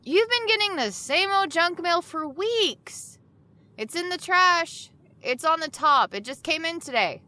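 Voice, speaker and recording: A woman's voice: very high-pitched, expressive, measured pace, pushed and bright, full character voice. Weary, frustrated young woman. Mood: casual. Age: young adult. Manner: casual, exasperated. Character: weary, frustrated young woman, sarcastic with her best friend. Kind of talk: audio drama acting.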